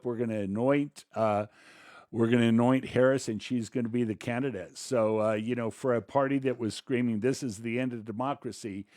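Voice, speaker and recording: A man's voice: mid-range voice, a little mellow. A man co-hosting a podcast, giving informal, conversational political commentary in loosely strung phrases. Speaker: podcast co-host.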